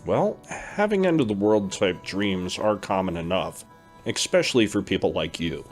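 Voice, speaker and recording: A man's voice: low voice, normal speed. Man playing a reassuring older brother. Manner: reassuring, steady. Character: calm older-brother dream instructor, reassuring. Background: faint background music.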